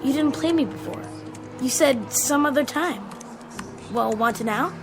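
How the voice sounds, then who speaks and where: A woman's voice: high-pitched, measured pace, over music. A woman playing a casual, easygoing kid absorbed in an arcade game in a radio drama, offhand and youthful. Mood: chatty, keen.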